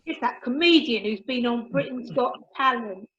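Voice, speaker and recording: Woman playing a high-pitched, brash mum. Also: expressive, normal speed, fairly bright tone, a little hiss or hum. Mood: gleeful, boastful. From Basildon, Essex, England (character). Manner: chatty, gossipy, colloquial. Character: brash, cheeky working-class Essex mother on a cruise. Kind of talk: amateur radio comedy acting. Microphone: video call. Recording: dull, lo-fi video call.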